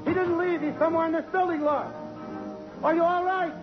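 A man's voice: very high voice, steady tone, fairly quick. An actor playing a frantic male detective calling out urgently in a 1950s radio drama. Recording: muffled old radio, music behind.

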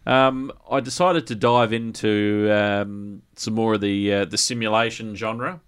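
An Australian man with a fairly low, clean and resonant voice hosts a casual gaming podcast. He talks colloquially, repeating himself as he finds his words, and swears freely. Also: steady tone, a little slow, smooth. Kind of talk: casual chat.

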